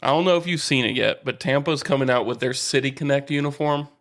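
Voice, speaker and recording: A man's voice: medium pitch, quick pace, fairly bright tone. An American man co-hosting a sports podcast in informal, joking banter, often chuckling as he talks.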